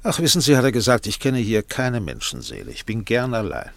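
An actor playing a worldly, self-assured defence barrister who talks in a dry, patronising, measured way in a German radio drama. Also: male voice, mid-range voice, huge pitch swings, gravelly. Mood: wry.

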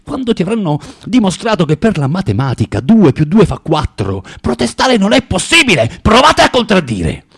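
Radio drama lead: spiteful, self-contradicting recluse of forty, husky voice, wildly swinging pitch, halting delivery. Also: male voice, wildly swooping pitch, a bit bright, full character voice. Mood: defiant, exasperated. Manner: halting, repeating words, swinging from muttering to outbursts. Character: bitter, tormented forty-year-old underground man, spiteful and self-contradicting. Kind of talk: theatrical radio drama monologue.

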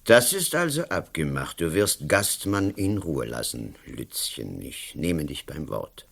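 An actor playing a blustering, patronizing politician in a German radio play, talking pompously in short emphatic phrases. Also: male voice, very deep voice, sing-song, a little slow, vocal fry, a bit bright, heavily acted. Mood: domineering, pompous. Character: blustering, patronizing male politician, a national councillor.

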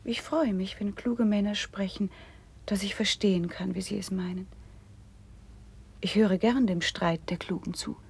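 An actress playing a gentle, thoughtful noble princess, speaking formal verse in a measured, soft-edged way in a classical radio drama. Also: female voice, medium pitch, fairly even intonation, breathy edge. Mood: pensive, composed. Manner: measured, formal, poetic declamation.

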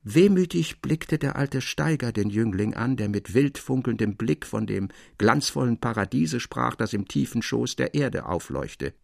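Gravelly male literary narrator, dry sound. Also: medium pitch, sing-song, measured pace, few pauses, darkish tone, no echo. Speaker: narrator, reader of literary tale. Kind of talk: expressive literary audiobook narration. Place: dry studio.

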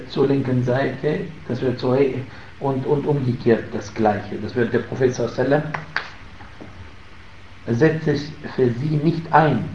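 A man giving a religious lesson in German, emphatic on key points and pausing with fillers. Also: medium pitch. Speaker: Islamic teacher. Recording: roomy, muffled, faint ambience.

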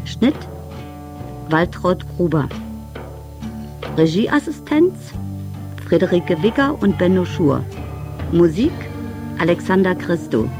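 A woman's voice: on the low side, sing-song, takes it slowly, long pauses. A woman reading scripted radio credits as an announcer. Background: plucked guitar music.

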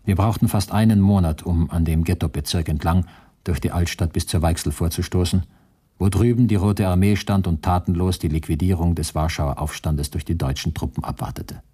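A man's voice: deep voice, fairly even intonation, fairly quick, mellow, slightly rough. A man playing a grim former soldier, giving sober, matter-of-fact testimony in a scripted radio drama.

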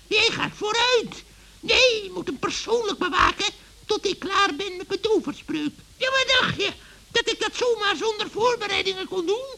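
A performer in a children's radio play voicing a scheming, bossy old witch woman with a shrill, creaky voice. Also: lively intonation, normal speed, bright, forceful tone, full character voice. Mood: bossy, impatient. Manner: bossy, impatient, emphatic.

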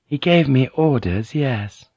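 A male narrator plays a young noblewoman of storybook fiction, devoted and proud, tender yet fiery. The voice is lifted high, with earnest, old-fashioned formal phrasing. The recording is slightly dull. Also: expressive, slow, a little mellow, slightly rough, dry recording, exaggerated voice. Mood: tender, reassuring. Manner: formal, archaic, earnest speech. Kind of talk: audiobook character dialogue.

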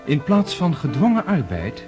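An actor playing a courteous, serious prince with formal wording in a Dutch radio drama. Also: male voice, fairly high pitch, wildly swooping pitch, normal speed, highs cut off, music in the background. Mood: assured, courteous. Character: courteous, reserved, serious prince and military reformer.

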